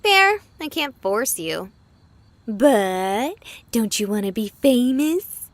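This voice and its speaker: A woman's voice: on the high side, very animated, slow pace, no room sound. An actress voicing a skeptical, exasperated young female game streamer in a visual novel. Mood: teasing.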